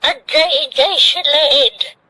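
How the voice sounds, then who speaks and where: Radio drama role: sorrowful mother, Ilocano, high sharp tone, dragging plaintive pace. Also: drawn-out words, no room sound, heavily acted, rough recording.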